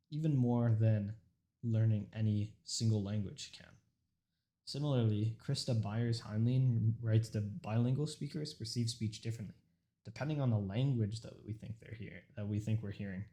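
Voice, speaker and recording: A man's voice: lowish voice, dark tone. Earnest young man giving a prepared speech. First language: Urdu. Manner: earnest, reads from a script with occasional stumbles and restarts. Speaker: student speaker.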